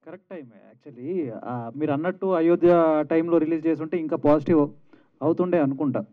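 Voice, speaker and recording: A man's voice: on the high side, fairly even intonation, fast talker, narrow, tinny audio. A man, a film actor, answering a reporter's question at a press event.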